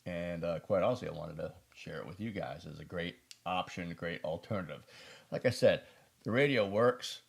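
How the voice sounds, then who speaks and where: Casual male gear reviewer, low-pitched with a creaky edge, folksy and unscripted. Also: moderate pace. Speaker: product reviewer.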